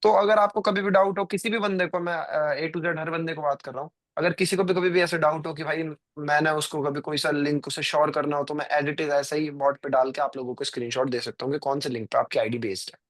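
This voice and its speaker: A man's voice: on the high side, flat delivery, brisk, hardly any pauses, fairly bright tone, no room sound. A man, chatting casually to viewers on a live stream, rambling and full of fillers. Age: 20s. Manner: rambling, filler-heavy, addresses viewers directly. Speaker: trading stream host.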